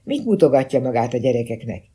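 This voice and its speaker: A man's voice: mid-range voice, sing-song, warm tone, husky. A man voicing an outraged, accusatory mother in an audiobook. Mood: outraged, accusing. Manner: indignant, accusatory.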